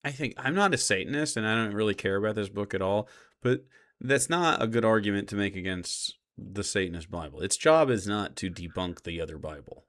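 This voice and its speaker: A man's voice: on the low side, animated, a little fast, fairly bright tone. A man doing casual live reaction commentary as a streamer, argumentative, sarcastic and given to swearing.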